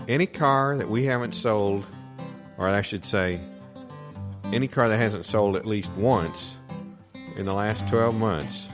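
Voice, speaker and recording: A man improvising a folksy, bumbling used car lot salesman who stumbles through his parody radio ad. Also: lowish voice. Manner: bumbling salesman patter, stumbling over big words. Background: guitar music.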